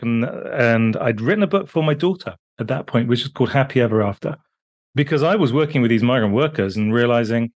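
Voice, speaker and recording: A British man in his fifties, a guest author on a remote call, telling his story in a lively way that jumps up in pitch for emphasis. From Britain. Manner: animated, pitch jumping up on emphasis, frequent restarts. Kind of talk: conversational interview storytelling.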